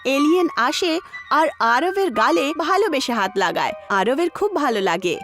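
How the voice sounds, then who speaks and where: A woman narrates a scripted children's tale in a high, lively voice. Her pitch moves a great deal from phrase to phrase. Music plays clearly behind her. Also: normal speed.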